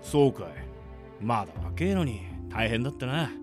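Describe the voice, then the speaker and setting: A man playing a hearty, rough-spoken drinker at a festival in a radio drama, familiar and folksy. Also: medium pitch, lively intonation, moderate pace, over music, close to an everyday voice. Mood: knowing, easygoing.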